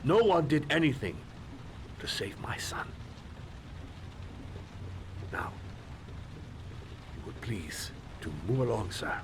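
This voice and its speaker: A bitter, grieving shopkeeper in a video game, clipped and cold, his pitch swooping wide from word to word, as a man puts him on. Rain noise is clear behind him. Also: sing-song, takes it slowly, long pauses. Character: grieving male shopkeeper father, curt and bitter.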